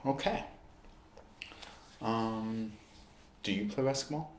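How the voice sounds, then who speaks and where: Deep guy doing asmr roleplay, short slow phrases w pauses, bit echoey, faint noise behind. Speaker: ASMR performer playing a basketball recovery expert. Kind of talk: ASMR roleplay, talking to the listener.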